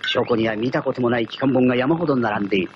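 An actor playing a cocky, proud gentleman thief, narrating his own exploits theatrically in a radio drama. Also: mid-range voice, rapid-fire, slight background noise, natural voice. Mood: sly, composed. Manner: theatrical, self-assured storytelling. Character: cocky gentleman thief, proud self-styled genius, wry. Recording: dull lo-fi archive radio recording, loud music.

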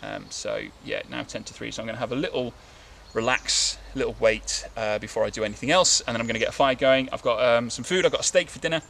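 A man in his thirties chats to the camera from a camp under a tarp, with a gravelly edge to his mid-pitched voice. He talks in a relaxed, meandering way, full of ums and restarts. Faint outdoor hiss sits behind him. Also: fast, few pauses. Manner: rambling, relaxed, many fillers and restarts. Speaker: bushcraft vlogger. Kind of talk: casual talk to camera. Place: outdoors in winter woodland, seated under a tarp. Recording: light outdoor background noise.

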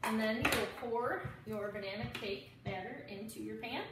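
A woman's voice: on the high side, moderate pace. Echoey, distant woman giving cooking instructions. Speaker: home cook hosting a cooking show. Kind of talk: casual cooking demonstration, step-by-step instruction. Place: home kitchen, indoors, dish clatter behind. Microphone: camera a few steps away. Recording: roomy, distant camera mic with clear noise.

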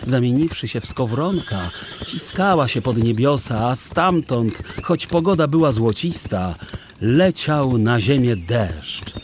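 A man narrating a children's story in a theatrical, deliberate, expressive literary style. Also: medium pitch, a little hiss or hum. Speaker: audiobook narrator.

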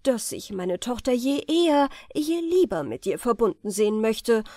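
A woman's voice: on the high side, big pitch swings, normal speed, dark tone, dry recording, studio quality. A woman voicing an effusive, doting sultan in a fairy tale, grand and exclamatory. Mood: delighted. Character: effusive, delighted sultan, a doting royal father.

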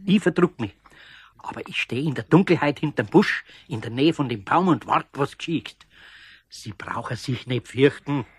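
A man's voice: mid-range voice, lively intonation, moderate pace, breathy edge, no room sound. A man playing a good-natured, plain-spoken older craftsman with a Bavarian accent in a radio play. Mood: reassuring, determined.

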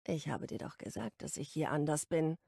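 A woman's voice: deep voice, moderate pace, vocal fry, dark tone, no room sound, studio quality. A woman voicing a teasing young man.

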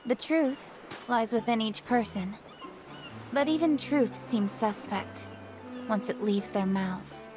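A young secret agent in a video game, composed and quietly knowing. Her voice sits a little high and she speaks cryptically over prominent violin-led music. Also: slow pace. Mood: cryptic, knowing. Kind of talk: video game cutscene acting. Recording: prominent string music behind the voice.

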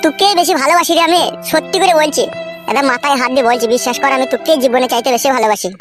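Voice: high-pitched, rapid-fire, over music, lightly acted. A performer voicing a henpecked young husband who pleads, placates and curses in a comic cartoon dub. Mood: placating. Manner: whining, placating, foul-mouthed.

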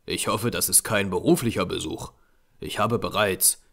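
A man's voice: deep voice, normal speed, gravelly, fairly bright tone, breathy edge, full character voice. A man voicing an irritated, sceptical male artist in an audiobook, brusque and dismissive. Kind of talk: audiobook character voice.

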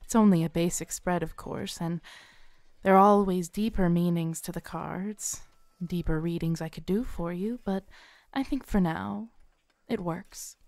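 Woman acting a slow, smooth-voiced tarot reader. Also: on the low side, no room sound. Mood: playful, warm. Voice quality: smooth, soft. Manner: unhurried, soothing, theatrical, with dramatic pauses. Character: kindly, playful, knowing Renaissance faire tarot reader woman. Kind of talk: soft-spoken audio roleplay addressed to the listener. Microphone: close studio mic, dry.

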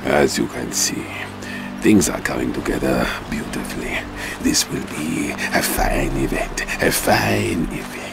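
A man's voice: rumbling low, lively intonation, a little slow, vocal fry, loud music behind the voice, exaggerated voice. An actor playing a volatile, foul-mouthed villain boss in a video game, a fat man, pompous and scornful. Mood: smug. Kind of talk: video game cutscene acting.

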